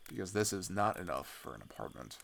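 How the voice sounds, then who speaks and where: A young man playing a weary teenage boy fleeing his homeland, speaking haltingly in an intimate, naturalistic audio roleplay. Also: sits low, big pitch swings, gravelly, fairly bright tone, a little hiss or hum, exaggerated voice. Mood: uncertain, anxious. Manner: hesitant, halting, sighing, trailing off.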